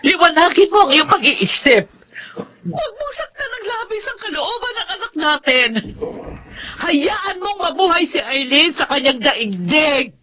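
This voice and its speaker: Soft-hearted, worried woman in radio drama. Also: sits high, sing-song, unhurried, full character voice, rough recording. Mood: tearful pleading. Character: soft-hearted, pleading young-to-middle-aged woman, polite and worried. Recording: faint background noise.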